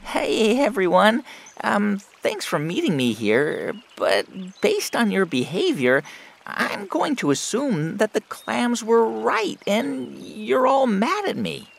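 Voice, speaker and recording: Creaky, expressive earnest beaver. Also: female voice, mid-range voice, slow pace, exaggerated voice. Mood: hesitant, worried. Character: eager, busy, earnest beaver, sociable and sincere. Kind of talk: children's audio folktale acting.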